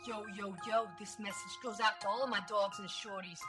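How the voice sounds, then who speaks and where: A woman's voice: medium pitch, fairly even intonation, moderate pace, natural voice. A woman voicing a hip, streetwise urban-music DJ, slangy and hyped, in a mock station promo. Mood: hyped, cool.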